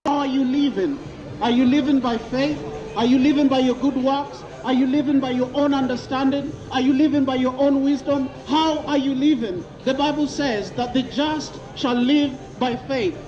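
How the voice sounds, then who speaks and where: A man's voice: extremely high pitch, steady tone, moderate pace. A man from Kenya preaching outdoors in a busy city square, repeating questions to passers-by and quoting scripture. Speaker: street preacher. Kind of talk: open-air street preaching. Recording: lo-fi outdoor recording with crowd noise.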